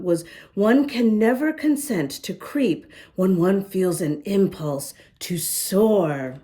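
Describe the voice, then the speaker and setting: Give me a gentle woman reading a storybook to children, unhurried and warm-toned. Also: fairly low pitch. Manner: gentle, slow, talks to young listeners. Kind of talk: reading a picture book aloud to children. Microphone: camera close up, showing the book.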